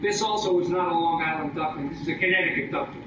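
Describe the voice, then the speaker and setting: A man's voice: fairly high pitch, fast. A man hosting a live stage show with informal patter and joke telling. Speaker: stage host. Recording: noisy, dull recording from far in echoey hall.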